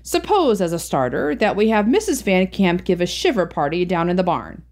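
Lady doing a sly laid-back business guy, lowish voice. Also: lively intonation, a little fast, a little mellow. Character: middle-aged businessman, sly and easygoing.

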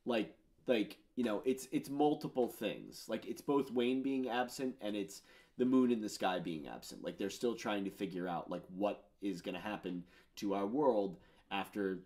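A man in his thirties with a fairly low voice talks through his own music in a loose, rambling way. He leans on fillers and gets excited as he goes. He sits in a home studio, speaking to a camera. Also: moderate pace. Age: 30s. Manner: rambling, filler-heavy, enthusiastic. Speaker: musician discussing his album. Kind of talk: casual commentary on his own songs. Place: home studio with purple lighting.

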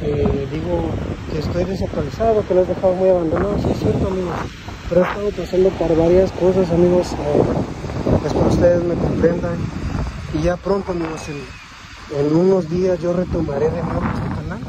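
A man's voice: high voice, steady tone, fairly quick. A young Guatemalan man with a Guatemalan Spanish accent, walking and filming a casual vlog on his phone, talking earnestly and ramblingly while calling his viewers "amigos" over and over. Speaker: vlogger. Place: sandy beach outdoors on a windy day. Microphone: phone held while walking. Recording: loud wind noise on phone mic.

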